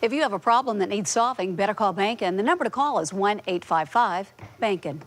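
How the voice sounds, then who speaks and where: A woman with an American accent reads scripted news as an anchor. Her voice has a medium pitch for a woman. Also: lively intonation, a little fast. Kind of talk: scripted newscast reading.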